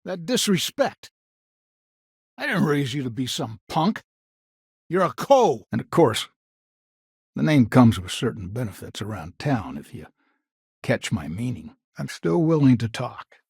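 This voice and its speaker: An actor playing a stern, proud old grandfather of a frontier family in a video game, gruff and curt, with a gravelly, husky voice and folksy turns of phrase. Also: mid-range voice, sing-song, measured pace, pauses often, no room sound, clean studio sound, full character voice. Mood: angry, scolding. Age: older. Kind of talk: dramatic video game dialogue acting.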